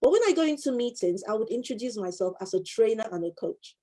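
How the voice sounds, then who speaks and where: A woman's voice: a little high, lively intonation, quick pace, mellow. A woman in her fifties, a life coach and former solicitor, giving an earnest motivational talk and repeating her key phrases for emphasis.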